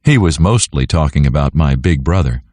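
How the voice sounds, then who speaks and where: A man with a mellow, low voice reads a children's story aloud. His delivery is animated and scripted, in a close, dry sound. He narrates clearly as a storyteller for young listeners. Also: normal speed, no echo.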